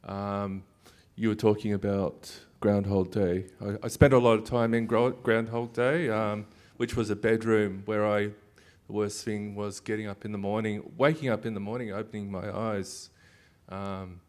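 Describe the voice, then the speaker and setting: A man in his fifties introducing himself on a panel, hesitant, with many pauses and fillers. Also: lowish voice, flat delivery, a little mellow. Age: 50s. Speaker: panelist, consumer consultant. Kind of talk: panel discussion answers.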